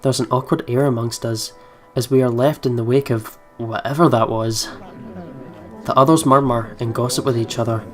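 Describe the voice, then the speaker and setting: A man's voice: medium pitch, fairly even intonation, moderate pace. A man hosting a let's play, reading game narration aloud and adding casual commentary. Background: game music.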